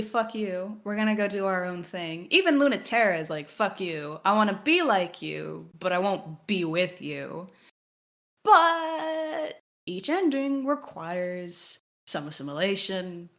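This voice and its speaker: A woman's voice: medium pitch, big pitch swings, unhurried, a bit bright. A woman co-hosting a podcast, giving casual analysis in an emphatic, wry way and acting out imagined quotes. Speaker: podcast co-host.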